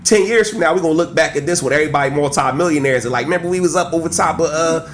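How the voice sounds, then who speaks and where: A man in his forties from Trenton talks in a loose, animated podcast style, peppering his talk with "man" and "right?". His voice sits a little high for a man. He sounds like a guest pitching insurance among friends. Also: racing, slight background noise. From Trenton, New Jersey. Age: 40s. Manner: animated, street slang, frequent "right?" and "man". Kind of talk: casual podcast chat.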